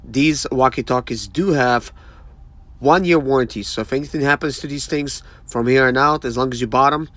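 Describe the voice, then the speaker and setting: A man's voice: mid-range voice, normal speed, fairly bright tone, no room sound. A man in his thirties chatting casually and informally to viewers as he reviews a product. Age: 30s. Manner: informal, conversational, addresses viewers as guys. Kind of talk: casual unboxing chat to camera.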